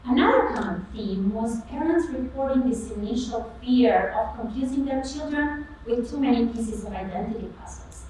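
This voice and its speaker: A young woman whose first language is Albanian gives an earnest, rehearsed research talk on stage, stressing key phrases with lifts in pitch. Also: darkish tone. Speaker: competition presenter. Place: auditorium stage. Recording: echoey hall, far mic, faint noise.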